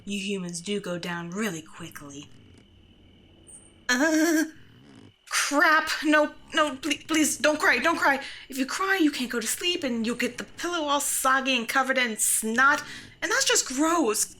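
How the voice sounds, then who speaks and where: A woman's voice: sits high, animated, unhurried, bright, forceful tone, heavily acted. A woman playing a grumpy, sarcastic, bossy monster from under the bed in an ASMR roleplay. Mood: grumpy, threatening, then flustered, exasperated. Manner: exasperated, bossy, mock-threatening. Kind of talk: scripted ASMR roleplay, speaking to the listener.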